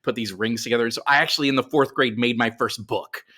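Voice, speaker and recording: A man's voice: mid-range voice, steady tone, fast, pushed and bright. A middle-aged man telling stories casually as a guest in a podcast interview.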